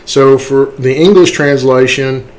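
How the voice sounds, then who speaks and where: A man instructing in a casual, step-by-step software tutorial, explaining things and often checking in with short tag words. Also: mid-range voice, unhurried, poor audio.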